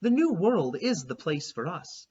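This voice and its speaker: A blunt, homesick pilgrim woman, put on by a man in a high, swooping voice from a children's storybook. She talks in an old-fashioned, matter-of-fact way and sounds incredulous at modern ideas. The recording is a little dull and lo-fi. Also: very animated, measured pace, darkish tone, husky, heavily acted. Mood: wistful.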